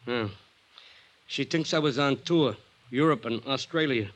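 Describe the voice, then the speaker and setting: An actor playing a nervous aging American ex-con entertainer in an old radio drama, stammering and pleading in street slang. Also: male voice, medium pitch, measured pace, natural voice. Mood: anxious, earnest. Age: older.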